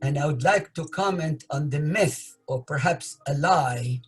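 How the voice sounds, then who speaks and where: An older man from Iraq comments in a very raspy, husky voice. He speaks slowly and emphatically at a slightly raised pitch for a man. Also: faint music behind. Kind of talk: deliberate spoken commentary.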